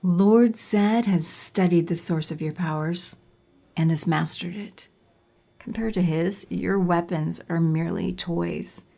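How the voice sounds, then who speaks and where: A woman's voice: lowish voice, takes it slowly, creaky, mellow, heavily acted. A woman playing a haughty, condescending villainous sorceress in an audio drama, with theatrical, menacing delivery. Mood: grave warning.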